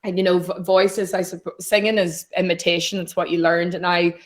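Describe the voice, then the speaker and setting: Young irish woman, low voice, chatty, rambling storyteller, on a call. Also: little pitch movement, moderate pace. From Donegal, Ireland. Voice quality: naturally deep voice. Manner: chatty, rambling, self-deprecating. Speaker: singer-songwriter guest. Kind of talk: casual chat.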